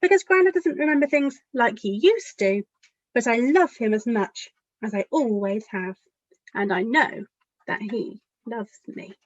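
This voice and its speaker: A woman with a fairly high voice reads a picture book for young children. She is expressive and speaks straight to her listeners as a storytime author would. The sound is dry and a little dull. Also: a little slow, no echo. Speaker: children's author reading aloud.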